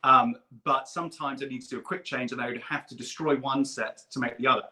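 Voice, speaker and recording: A man telling eager, rambling stories on a video call, like an excited fan. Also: mid-range voice, quick pace. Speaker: guest puppeteer and performer. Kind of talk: casual chat.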